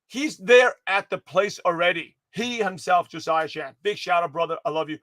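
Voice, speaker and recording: A man giving an energetic livestream pep talk to his viewers, his voice hoarse and slightly raspy, rambling with many restarts and self-corrections. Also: high voice, moderate pace, no room sound, clean studio sound. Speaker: motivational speaker giving a daily pep talk.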